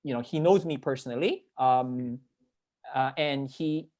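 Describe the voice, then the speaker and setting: A man's voice: mid-range voice, unhurried, darkish tone. A young man from the Philippines talking casually as a panelist on a video call, rambling and repeating words for emphasis. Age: young adult. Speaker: panelist sharing work experience. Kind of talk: casual spoken panel talk.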